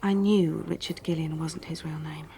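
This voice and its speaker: A woman's voice: low voice, steady tone, moderate pace, gravelly, warm tone. A woman acting in a radio drama as a guarded, candid escort with a wry, matter-of-fact way of talking. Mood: guarded, uneasy.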